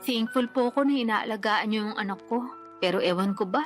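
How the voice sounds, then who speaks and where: A woman's voice: on the high side, brisk, close to an everyday voice. A woman playing an exhausted, resentful young single mother in a radio drama, over faint music. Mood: weary. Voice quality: weary, complaining, defensive. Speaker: the letter sender in the scene. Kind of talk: Tagalog radio drama acting. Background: faint background music.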